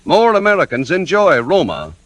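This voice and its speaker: A man announcing a 1940s radio commercial with emphatic, drawn-out delivery. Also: fairly high pitch, huge pitch swings, fairly bright tone. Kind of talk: 1940s radio advertising pitch. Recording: vintage 1940s radio recording.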